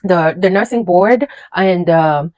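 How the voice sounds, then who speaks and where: Woman, 30s, first language French. Low-ish voice, animated, emphatic storyteller. Dry and close. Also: takes it slowly, dry recording. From French-speaking country, immigrated to the USA. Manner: animated, emphatic, with frequent fillers and repeated words. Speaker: registered nurse, vlogger. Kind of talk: casual personal storytelling to camera.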